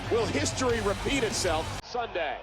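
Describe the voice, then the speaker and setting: A man's voice: high-pitched, lively intonation, moderate pace, over music. A man delivering play-by-play wrestling commentary for a video game, as an announcer calling the show.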